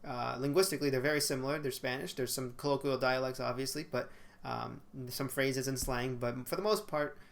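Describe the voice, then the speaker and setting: A man's voice: mid-range voice, steady tone, a little fast, gravelly. Young man, casual monologue, webcam in a small bedroom, hesitant and self-correcting. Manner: conversational, many fillers and self-corrections. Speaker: vlogger. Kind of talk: casual talking-head monologue.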